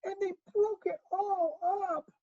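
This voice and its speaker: A woman voicing a wee male baby bear from a storybook, upset and plaintive in a tiny, squeaky, tearful voice. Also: fairly even intonation, slow pace, warm tone, lo-fi sound.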